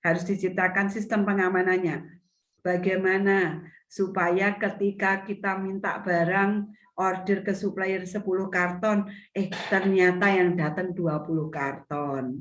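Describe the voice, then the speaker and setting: A middle-aged woman, teaching an online seminar as a retail business mentor over a video call, her voice a little hoarse from a cough, often restating her points. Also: on the low side, steady tone, a little slow. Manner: explanatory, repeats and restates points. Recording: echoey video call audio.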